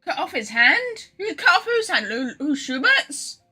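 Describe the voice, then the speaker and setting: A woman game streamer giving casual, joking live commentary, sarcastic and quick to swear. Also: sits high, very animated, pushed and bright, faint music behind.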